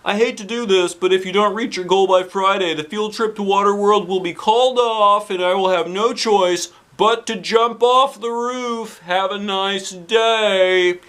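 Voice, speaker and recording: A man voicing an eccentric, exuberant middle-aged school principal from a children's storybook, with exaggerated, drawn-out delivery. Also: high-pitched, a bit bright, voice pushed up. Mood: hammy. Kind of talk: children's storybook character reading.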